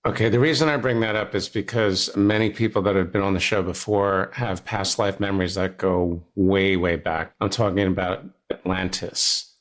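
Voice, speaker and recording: A man's voice: low voice, fairly even intonation, normal speed, few pauses. A man hosting an interview podcast, asking curious, conversational questions with a light touch of humor. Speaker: podcast host.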